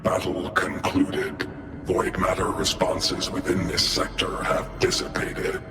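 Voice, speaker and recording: An actor playing a stoic war machine in a video game, speaking in clipped, formal, monotone reports with robotic processing. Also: male voice, deep voice, takes it slowly. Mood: solemn, measured. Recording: long hall reverb under loud music.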